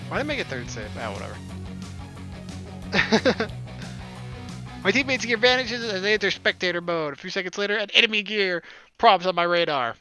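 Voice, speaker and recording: A man narrates a visual novel in let's play style, with a joking, playful manner. His voice is on the higher side. Game music is clearly audible behind him. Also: animated, moderate pace, pauses often, sharp tone. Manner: playful, jokey. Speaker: gamer reading a visual novel aloud.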